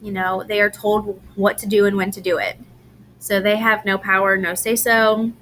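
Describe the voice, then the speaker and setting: A woman giving a recorded lecture presentation, unhurried with frequent "um"s and restarts, her voice a little strained from allergies. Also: medium pitch, level tone, fairly bright tone, a little hiss or hum. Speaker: presenter on grief and incarceration.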